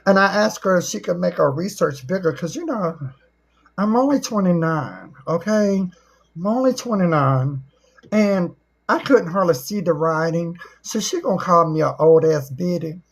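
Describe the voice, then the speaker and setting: Husky, teasing woman. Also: on the low side, expressive, moderate pace. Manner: folksy, teasing, joking. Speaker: livestream host. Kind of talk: casual livestream chat.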